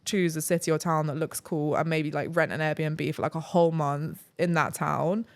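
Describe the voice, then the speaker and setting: A woman's voice: deep voice, steady tone, normal speed. A young woman from England chatting casually as a podcast guest, rambling with lots of fillers and easy laughter. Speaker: travel vlogger guest.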